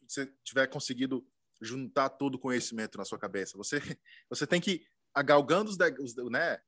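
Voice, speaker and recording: A man hosts a relaxed interview, speaking in loose, rambling sentences and frequently tossing in fillers. His pitch sits in an ordinary middle range for a man. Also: brisk. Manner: chatty, many fillers and backchannels. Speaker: interviewer host. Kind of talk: casual interview chat.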